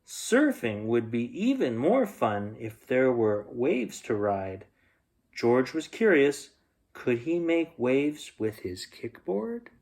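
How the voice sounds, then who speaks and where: Male storybook narrator, slow pace, animated pitch, dramatic pauses. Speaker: bedtime story reader. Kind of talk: expressive children's storybook narration.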